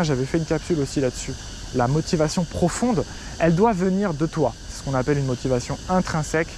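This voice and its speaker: A young French man speaks chattily and directly to the viewer, his voice sitting a little high for a man. He is recorded outdoors, with a faint hiss of insects and ambience behind him. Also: darkish tone. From France. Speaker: Chinese teacher. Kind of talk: casual talking-head advice video. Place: outdoors in front of a city skyline.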